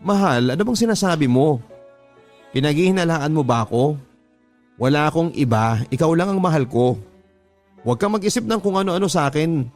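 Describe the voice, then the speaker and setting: Guy doing a young filipina girlfriend in radio drama, pleading and defensive, pitched up, music under it. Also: lively intonation, fairly quick, long pauses, a little mellow, close to an everyday voice. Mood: defensive, annoyed. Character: young cheating girlfriend, defensive and sweetly deceitful.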